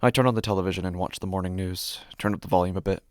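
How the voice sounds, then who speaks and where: A deep, creaky-voiced male actor as an uneasy city dweller tells a horror story in the first person. He speaks in hushed, measured phrases, placing each one with care, as in an audio fiction podcast. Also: fairly even intonation, dry recording, clean studio sound. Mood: calm, reflective. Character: uneasy city dweller recounting a creepy homecoming.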